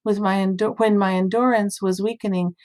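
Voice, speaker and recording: A woman's voice: mid-range voice, steady tone, brisk, darkish tone, natural voice. A woman voicing an earnest, distressed male prophet, fervent and solemn, in a scripture story reading.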